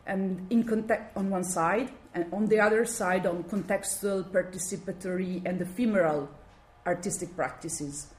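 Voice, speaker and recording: A woman from Italy speaking with a strong Italian accent, giving an academic talk and often pausing to search for words. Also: mid-range voice, a little mellow. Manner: halting, searching for words with many fillers. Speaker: guest lecturer. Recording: echoey room, faint background noise.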